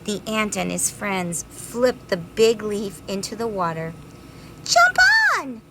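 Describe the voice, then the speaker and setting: A woman's voice: mid-range voice, measured pace, slight background noise. A woman reading a children's story aloud expressively, drawing out words dramatically for young listeners.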